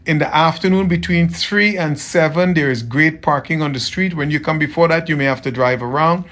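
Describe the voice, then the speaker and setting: A man from Trinidad with a softened Trinidadian accent, chatting casually with viewers on a livestream as a tailor, friendly and often repeating himself. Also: on the high side, a little fast. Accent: Trinidadian, softened toward American. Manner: friendly, repeats phrases, greets viewers by name.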